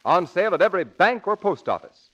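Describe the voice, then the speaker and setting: 40s radio announcer guy, punchy formal pitch, lively highish voice, dull old recording. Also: fast talker. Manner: emphatic, formal sales delivery. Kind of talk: scripted 1940s radio announcing. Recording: muffled old radio broadcast.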